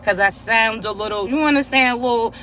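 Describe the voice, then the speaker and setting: Raspy, congested high-voiced man. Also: bright, forceful tone, a little hiss or hum. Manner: slow, repetitive preaching with frequent "all right?" check-ins. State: congested, stuffy nose. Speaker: spiritual reader and coach. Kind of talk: spiritual reading message to viewers.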